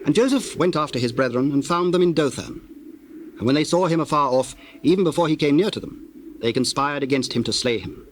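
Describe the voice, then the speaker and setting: A man narrating scripture from a script in a solemn, measured, formal way. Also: a little high, a little hiss or hum.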